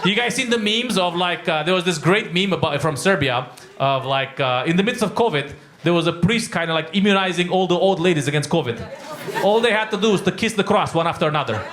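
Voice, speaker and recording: A man's voice: high voice, expressive, fast talker, a bit bright, echoey room. A man doing stand-up crowd work in a comedy club, teasing audience members and laughing through his jokes.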